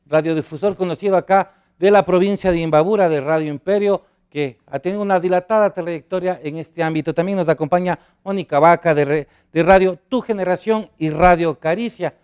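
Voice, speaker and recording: A man's voice: high-pitched, brisk, hardly any pauses, a little mellow, clear, resonant tone. A man hosting a TV panel, formal and welcoming as he introduces guests and poses questions. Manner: formal, welcoming, slips on a name. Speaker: TV talk show host.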